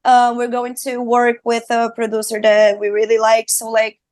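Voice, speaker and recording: A woman's voice: a little high, monotone, normal speed, darkish tone, no room sound, clean studio sound. A young woman from Brazil with a Brazilian Portuguese accent, a singer and streamer chatting as a guest in an interview, upbeat and full of fillers and restarts. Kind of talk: casual interview chat.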